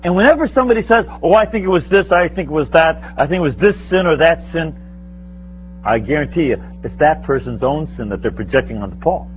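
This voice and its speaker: A man's voice: on the high side, animated, fast, muffled recording, faint music behind. A man in his sixties giving an informal, conversational spiritual talk to a live audience, rambling and self-deprecating with frequent chuckles. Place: meeting room with a live audience.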